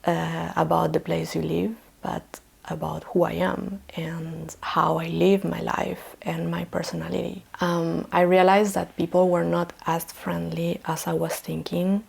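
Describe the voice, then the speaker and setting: A woman in her thirties from Chile, speaking English with a Chilean Spanish accent, softly and hesitantly telling a personal vlog story, often dropping toward a whisper. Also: fairly low pitch, little pitch movement, a little slow, vocal fry, slightly rough. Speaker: vlogger.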